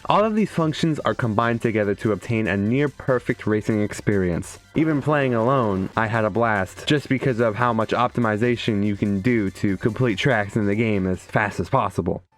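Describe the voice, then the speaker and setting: A man's voice: lowish voice, normal speed, few pauses, faint music behind. A man doing casual, comedic video game commentary with a sarcastic, profane edge. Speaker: gaming video creator. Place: indoors, streaming setup.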